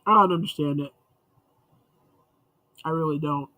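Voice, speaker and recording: A man's voice: fairly high pitch, measured pace, bright, forceful tone, dry recording. A man hosting a casual livestream chat, rambling and chatty with frequent restarts, sounding congested and sniffly. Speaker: livestream host.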